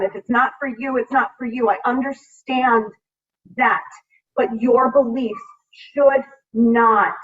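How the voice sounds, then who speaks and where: Earnest American woman, distant muffled call audio. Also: a little high. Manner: earnest, emphatic. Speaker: state legislator on a panel. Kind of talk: public panel remarks, speaking from personal conviction. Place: remote video meeting.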